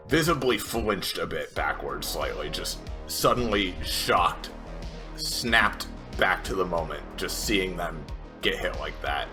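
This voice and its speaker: Deep, slow-talking man, halting narration. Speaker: player. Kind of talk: narrating his character's actions.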